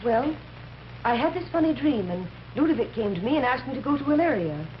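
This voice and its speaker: An actress in an old-time radio drama playing a dreamy, compliant wife, airy and blithely detached. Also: female voice, sits high, animated, brisk. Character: dreamy, compliant wife under an alien's spell. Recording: muffled, hissy old radio recording.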